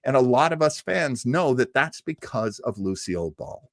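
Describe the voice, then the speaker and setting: A man's voice: medium pitch, lively intonation, normal speed, no room sound. American man hosting, dry close sound. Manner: enthusiastic fan storytelling. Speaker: host of an old-time radio show; performer. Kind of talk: casual livestream talk to viewers.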